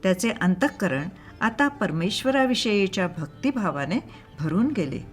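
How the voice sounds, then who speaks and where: A woman narrating a scripted moral story in a measured, expressive storytelling manner. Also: medium pitch, faint music behind. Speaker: storyteller and channel host.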